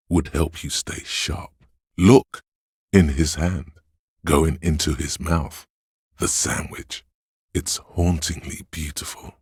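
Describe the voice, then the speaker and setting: Sleazy inner voice of vice for a video game, super deep and very husky, slow seductive goading, wants booze and thrills. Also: male voice, no echo, clean studio sound, heavily acted. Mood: dreamy, tempting. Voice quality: gravelly, husky bass. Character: sleazy hedonistic inner voice of a drunkard's nervous system. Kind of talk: video game character voice acting.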